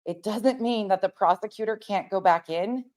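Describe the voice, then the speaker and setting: A woman's voice: medium pitch, moderate pace, a little mellow, no room sound. An American woman giving casual commentary as an online host, emphatic and explanatory, repeating points for stress. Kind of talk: casual commentary, reading documents aloud.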